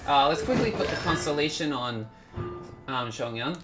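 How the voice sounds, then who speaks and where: A man hosting a gaming video, giving casual, halting commentary as he thinks aloud. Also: a little high, animated, over loud music.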